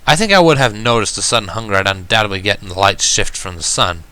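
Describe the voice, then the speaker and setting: A man narrating a first-person story in an expressive, reflective and dramatic way. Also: lowish voice, fast, clean tone. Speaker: reader of fan fiction. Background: background music.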